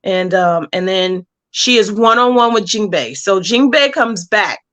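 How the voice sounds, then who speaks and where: Woman, bright voice, mid pitch, casual interview guest, comic creator explaining story plans. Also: lively intonation, measured pace.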